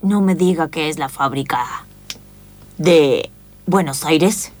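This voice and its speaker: A woman playing an eccentric, playful scientist in a comic radio play, with theatrical, drawn-out phrasing. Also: deep voice, a little mellow, soft background music. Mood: curious.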